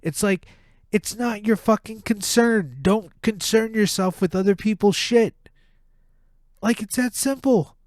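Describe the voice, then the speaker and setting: A man with a blocked, nasal voice rants heatedly, swearing as he goes. He talks at an unhurried, drawn-out pace. The sound is close and dry, with no room echo. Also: high-pitched, darkish tone. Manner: profane, heated ranting. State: stuffed-up nose, nasal. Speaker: podcast host. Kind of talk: casual ranting monologue.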